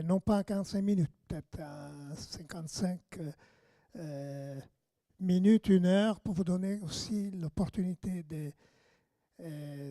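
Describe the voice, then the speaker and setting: A man, giving a hoarse, halting conference lecture on radiochemistry. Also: sits high, dark tone, no room sound, clean studio sound. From Italy. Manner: halting, many fillers and restarts. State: hoarse, lost his voice.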